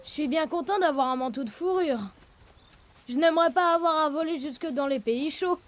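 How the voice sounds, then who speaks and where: A woman's voice: high-pitched, fairly quick, natural voice. A woman voicing a timid, good-natured young male tiger cub in a children's cartoon, hesitant and childlike. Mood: curious. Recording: light music behind.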